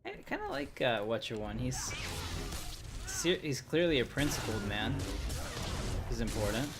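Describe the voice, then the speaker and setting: A man's voice: medium pitch, animated, a little slow, over loud music. A man livestreaming a game who chats casually in a rambling way with frequent fillers. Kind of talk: casual gameplay chat.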